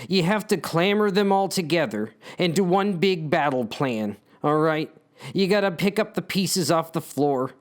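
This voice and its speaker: A man reading his own story as dramatic narration, speaking to the characters in a wry, theatrical, conspiratorial way. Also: fairly high pitch.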